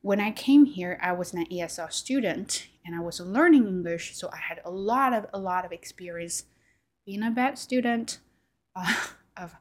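A woman's voice: medium pitch, normal speed. A young woman whose first language is Chinese, talking solo on her podcast in a reflective, loose way with fillers and self-corrections. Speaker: podcast host. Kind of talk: casual solo talk.

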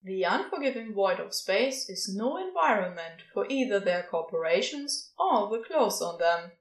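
Slow, expressive woman narrator. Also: medium pitch, poor audio. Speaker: podfic reader. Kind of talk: expressive scripted story narration.

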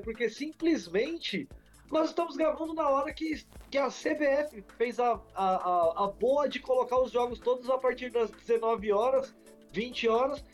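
A young Brazilian man co-hosting a football podcast, chatting in a slangy, anecdote-filled way. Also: very high voice. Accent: Brazilian Portuguese. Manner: slangy, storytelling banter. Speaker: podcast co-host. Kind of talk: casual sports podcast chat.

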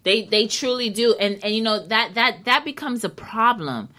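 Bright-voiced woman radio host. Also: a little high, expressive, normal speed. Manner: playful, hypes listeners, restarts and fillers. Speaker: internet radio show host. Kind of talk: casual radio chat. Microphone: studio microphone.

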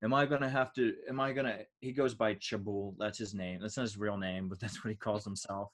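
A man's voice: lowish voice, fairly even intonation, fairly quick. An American man, a stand-up comedian, telling anecdotes casually in a rambling, self-deprecating way. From United States.

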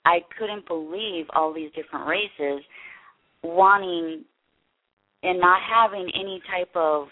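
Interview guest, woman, deep register, candid and halting, phone-quality audio. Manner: earnest, halting, many restarts. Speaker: interview guest, production company head. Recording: tinny phone line.

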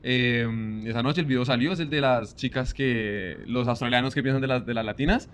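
A man from Colombia with a Bogotá accent, a guest on a casual podcast interview, talking in a relaxed, easygoing way. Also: mid-range voice, a little fast, clean tone. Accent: Bogotá Colombian Spanish. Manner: relaxed, easygoing, leans on "pues" as a filler.

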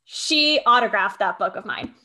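A woman's voice: mid-range voice, animated, moderate pace, bright, forceful tone. A woman co-hosting a podcast in casual, enthusiastic chat. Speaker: podcast host.

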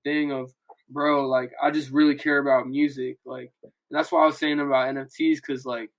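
Man, casual chat, slangy, mid pitch, fairly flat intonation. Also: moderate pace, low-quality recording. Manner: slangy, frequent "bro" and "like". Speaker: podcast panelist, musician.

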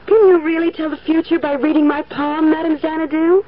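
A woman's voice: high-pitched, fairly even intonation, fairly quick, a crowd in the background, lightly acted. A woman playing an eager, gullible fortune-teller's client in a theatrical radio ad sketch. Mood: breathless, eager. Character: eager, gullible woman having her palm read. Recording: tinny old radio recording.

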